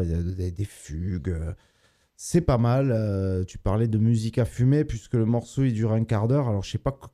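A man co-hosting a small radio show, introducing a record in a relaxed, hesitant way with many pauses and restarts. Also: lowish voice. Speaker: radio co-host presenting records. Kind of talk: casual radio chat.

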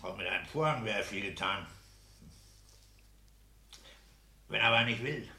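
An actor playing a pompous, self-satisfied petty-bourgeois husband and landlord in a declamatory 1960s German radio play style. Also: male voice, on the low side, expressive, quick pace, bright, forceful tone, slightly rough, exaggerated voice. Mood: self-important. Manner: pompous, declamatory, bullying joviality.

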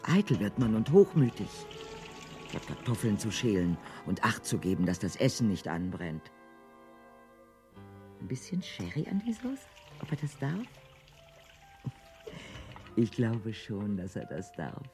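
Radio drama: stubborn, fussy housewife, very animated pitch, unhurried delivery. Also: female voice, faint music behind, full character voice. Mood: muttering, busy. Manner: repetitive, fussy, muttering to herself, then sharply dismissive. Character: harried, stubborn housewife nursing a sick man. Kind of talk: German radio drama acting.

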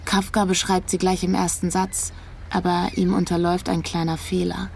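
A woman's voice: on the low side, level tone, moderate pace, vocal fry, darkish tone, slight background noise. An actress playing a searching, disillusioned young woman in a naturalistic radio drama, talking pensively. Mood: thoughtful.

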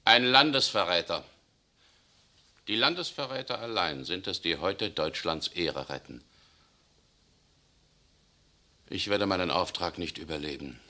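A man acting in a 1960s radio play as a tense German SS officer who secretly resists, speaking urgently, swinging between outbursts and hushed confession. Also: low-pitched, animated, normal speed, exaggerated voice. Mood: grave. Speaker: radio drama actor.

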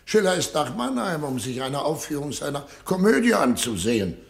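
A man's voice: a little high, big pitch swings, normal speed, vocal fry. An actor playing a flustered old army general who stammers and defers to his ruler in a radio drama. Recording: roomy studio echo.

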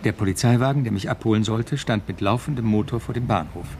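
An actor playing an earnest young police constable, a newcomer who also narrates the German radio play. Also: male voice, lowish voice, quick pace, background noise, lightly acted. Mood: reflective narration.

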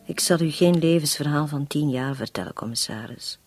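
An actress in a radio drama playing a long-suffering, resolute woman making a confession, her voice breathy and husky, her delivery halting and intense. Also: sits low, faint music behind. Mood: grave, bitter.

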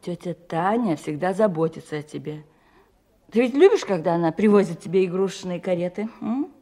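Radio play: patient mother, gentle persuasion, lowish pitch, mellow tone. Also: lively intonation, brisk. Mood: patient, coaxing. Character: gentle, coaxing mother of a young boy. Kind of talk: 1970s Soviet radio play acting.

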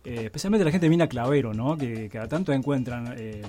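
A man with an Argentine accent giving a casual, halting, tongue-in-cheek radio column. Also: medium pitch, lively intonation, mellow, faint music behind.

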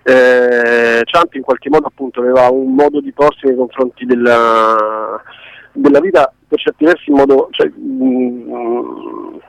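A man's voice: medium pitch, lively intonation, unhurried, creaky, dry recording, poor audio. A man giving an informal interview, explaining reflectively and often circling back to rephrase. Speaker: interviewed documentary co-director.

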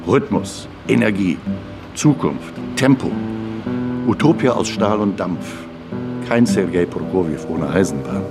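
A man's voice: low-pitched, lively intonation, measured pace. A man narrating a scripted radio play in short, emphatic phrases, with music behind him. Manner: clipped, emphatic recap. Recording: music and train sound behind.

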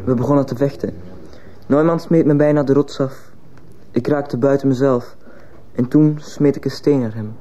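A man's voice: mid-range voice, fairly even intonation, moderate pace, warm tone, natural voice. A man playing a guarded teenage schoolboy on trial, answering tersely in a radio drama. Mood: sullen, guarded. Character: guarded, sullen teenage schoolboy accused of murder. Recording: muffled, hissy old radio play recording.

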